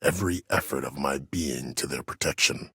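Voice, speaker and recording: An actor playing an imprisoned, disgraced admiral in a video game, a calculating schemer who speaks with cold courtesy in measured, formal, deliberate phrases. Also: male voice, extremely low pitch, creaky, pushed and bright, husky, no echo, studio quality, full character voice. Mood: grave, measured. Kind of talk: video game character dialogue.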